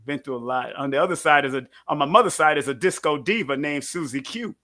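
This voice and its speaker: An American man with a raised pitch and a sharp, bright tone. He speaks as a guest in loose, animated conversation. His delivery is effusive, often repeating a word or two before the phrase takes off. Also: fast talker. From United States. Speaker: interview guest, numerologist.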